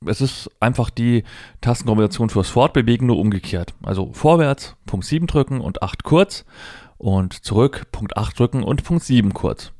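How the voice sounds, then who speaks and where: A man's voice: medium pitch, moderate pace, a little mellow, dry recording, studio quality. A man presenting a hands-on product demonstration, explaining informally step by step and thinking aloud as he goes. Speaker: presenter demonstrating assistive technology.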